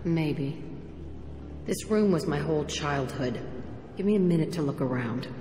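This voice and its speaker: A woman's voice: low-pitched, animated, unhurried, mellow. A woman playing a hardened, foul-mouthed, wounded young ex-prisoner in a video game, blunt and defiant in delivery. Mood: bitter, haunted. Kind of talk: video game cutscene acting. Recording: echoey hall, clear background noise.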